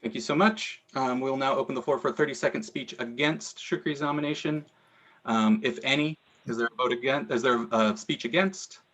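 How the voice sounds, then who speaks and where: A man chairs an online meeting in a plain, mid-pitched voice. He moves things along briskly, calling for speeches for and against each candidate. He sounds like he is on a video call. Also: a bit bright. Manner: brisk procedural calls for speeches.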